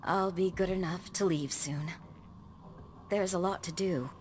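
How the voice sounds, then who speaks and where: Low, composed, formal woman acted. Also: unhurried, darkish tone, a little hiss or hum, natural voice. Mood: composed, earnest. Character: composed, earnest educated woman leader, recovering. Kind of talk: video game dialogue.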